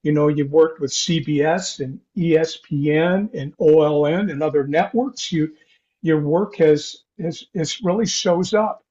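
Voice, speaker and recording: Older man, halting, dull lo-fi sound. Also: fairly high pitch, a little mellow. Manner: halting, rambling delivery with repeated false starts. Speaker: podcast host. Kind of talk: conversational interview.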